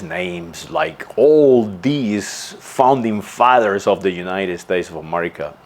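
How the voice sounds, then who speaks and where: A man delivering an earnest, insistent monologue as a channel host, often stumbling and repeating words before going on. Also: deep voice. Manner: halting, with frequent restarts and repeated words. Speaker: channel host giving a commentary. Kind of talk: unscripted spoken monologue.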